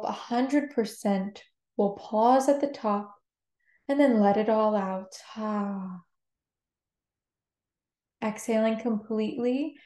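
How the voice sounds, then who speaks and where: Slow, mellow woman guiding meditation. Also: on the high side. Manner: gentle, soothing instruction. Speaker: meditation guide. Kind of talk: guided meditation. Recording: roomy, echoing sound.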